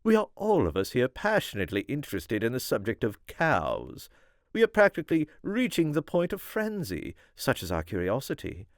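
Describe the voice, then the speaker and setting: A man's voice: medium pitch, no room sound, studio quality, voice pushed up. A man voicing a haughty, flirtatious countess in an audiobook, with a posh British accent and an imperious drawl. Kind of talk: audiobook character voice.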